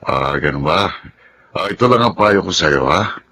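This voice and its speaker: A man's voice: low-pitched, expressive, unhurried, pushed and bright, a little hiss or hum, heavily acted. A man with a Filipino accent playing a fatherly senior military officer in a radio drama, commanding yet friendly.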